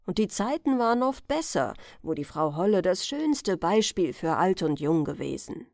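A woman narrating a scripted fairy tale as a bedtime audiobook, expressive and carefully phrased. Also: fairly low pitch, moderate pace, gravelly, no room sound, studio quality.

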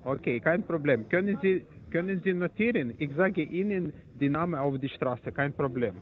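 A call center agent on the phone, speaking haltingly with many fillers and restarts. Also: male voice, fairly high pitch, expressive. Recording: tinny phone line.

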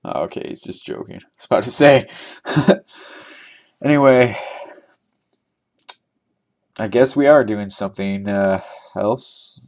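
A man's voice: fairly low pitch, unhurried, long pauses. A young man hosting an online game trivia giveaway, chatting casually and thinking aloud with frequent laughs, recorded on a desk microphone. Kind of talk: casual gameplay commentary.

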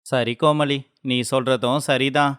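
Man playing an earnest farmer husband, smooth clear voice. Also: medium pitch, level tone, a little slow, fairly bright tone, dry recording, studio quality, natural voice. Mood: agreeing. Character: earnest village farmer husband, worried and indecisive. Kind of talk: acted dialogue in a Tamil moral story cartoon.

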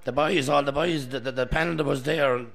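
A middle-aged Irish man, a former footballer, telling stories with a laugh in a busy pub on a boom mic. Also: steady tone, a little fast, a bit bright. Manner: gravelly, laughing storyteller. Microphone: studio mic on boom.

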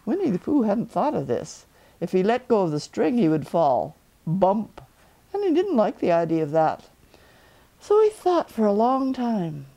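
A woman's voice: mid-range voice, very animated, unhurried, vocal fry, dark tone. A woman in her seventies narrating a children's storybook as a grandmother storyteller, indoors in a living room. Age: 70s.

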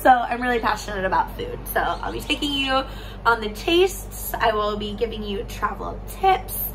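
A woman in her thirties, from California, chatting to camera on a balcony for her travel vlog, her voice a little hoarse, laughing often. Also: fairly high pitch, normal speed, loud music behind the voice, rough recording. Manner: bubbly, laughs often, lively hand gestures. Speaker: travel vlogger. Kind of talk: casual chat to camera. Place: sunny balcony outdoors.

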